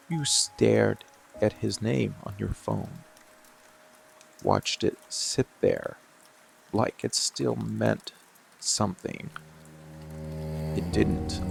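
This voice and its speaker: A man voicing a dark, seductive, protective monster in an intimate roleplay ASMR style, gravelly and deliberate, with long pauses. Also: on the low side, exaggerated voice. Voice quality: gravelly, creaky. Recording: rain and music behind the voice. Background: steady rain and music.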